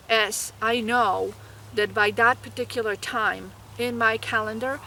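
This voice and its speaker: A woman with a Polish accent speaks in a bright, clear voice. She gives nursing students earnest, encouraging study advice and makes small slips in grammar. Faint noise sits behind her. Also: on the high side. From Poland.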